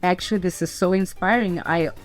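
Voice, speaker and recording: A woman's voice: on the low side, a little fast, soft background music. A woman from Belarus and Ukraine, a podcast guest telling her story casually in an interview. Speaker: podcast guest, fitness entrepreneur.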